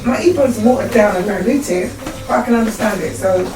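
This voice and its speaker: A woman's voice: mid-range voice, fairly quick. Chatty woman recalling her childhood. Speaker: support group member. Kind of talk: personal storytelling in group discussion. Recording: echoey room, distant mic.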